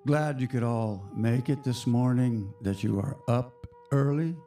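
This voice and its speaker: Hoarse guy hosting a spiritual radio show, slow and chatty, gravelly, soft music behind. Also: mid-range voice. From United States. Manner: slow, reflective, chatty with the audience.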